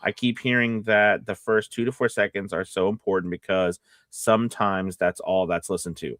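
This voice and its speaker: A man's voice: fairly low pitch, steady tone, normal speed, fairly bright tone, no echo, clean studio sound. Rambling man co-hosting a casual live stream. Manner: rambling, false starts and self-interruptions. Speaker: co-host, voiceover casting director and coach. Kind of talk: casual live-stream chat answering viewer questions.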